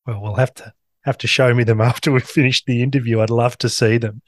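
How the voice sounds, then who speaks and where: A man's voice: mid-range voice, steady tone, a little fast, dry recording, clean studio sound. A man interviewing a coach, asking questions in a friendly way and listing examples as he goes.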